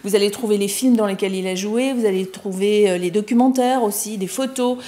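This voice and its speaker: A woman hosting a TV interview, encouraging her guest with steady murmurs of agreement. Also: mid-range voice, fairly quick. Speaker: TV host, interviewer.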